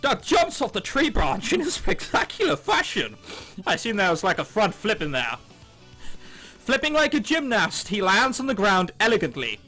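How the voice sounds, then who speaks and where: A man's voice: sits high, huge pitch swings, moderate pace, pushed and bright. A man reading a visual novel aloud, with sarcastic asides and swearing. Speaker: let's play host. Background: music.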